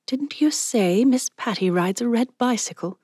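Woman playing a bold, clear-spoken young woman. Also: a little high, expressive, unhurried, darkish tone, no echo, studio quality, voice pushed up. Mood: eager, scheming. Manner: clear, confident, forward. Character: bold, chatty young woman with exotic accent.

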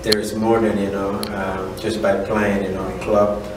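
Echoey, low-voiced man with Central American accent. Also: flat delivery. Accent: Central American Spanish. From Honduras. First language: Spanish. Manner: halting, repeats "you know". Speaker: assistant soccer coach. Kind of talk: casual interview answers. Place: indoor room at a college. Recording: echoey room, distant mic, background noise.

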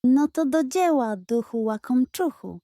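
A woman's voice: sits high, expressive, a little slow, dark tone, no echo, studio quality. A woman voicing a sly, greedy male pug in a children's audio tale, talking in a grandiose, mock-solemn, scheming way.

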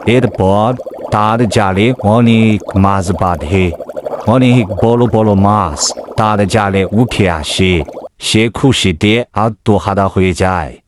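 A man's voice: fairly low pitch, slow pace, background noise. A man telling a folk tale as a scripted storyteller.

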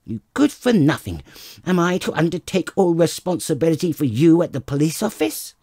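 A man voicing a furious barber's wife who screams insults at her husband, raising his voice high and loud. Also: very animated, moderate pace, no room sound, studio quality. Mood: furious scolding. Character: shrill scolding middle-aged barber's wife.

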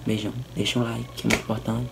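A young man, a little hoarse, chatting playfully to camera in a small room. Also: on the low side, little pitch movement, very fast, background noise. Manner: chatty, playful, teasing asides. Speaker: vlogger. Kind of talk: casual commentary on soap opera clips. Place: small indoor room by a curtain.

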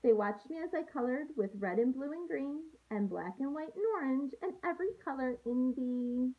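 A woman in her thirties, a teacher reading aloud to young children with an expressive, sing-song delivery, recorded on a handheld-distance device at home. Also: high-pitched, normal speed, narrow, tinny audio, slight background noise. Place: small room at home, indoors. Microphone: phone or laptop at arm's length.

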